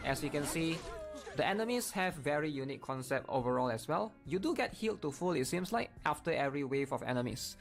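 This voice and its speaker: Man explaining gameplay, slightly high creaky voice. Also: animated, a little fast, faint music behind. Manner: explanatory, often checking in with viewers. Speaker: gaming video creator. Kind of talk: casual gameplay commentary.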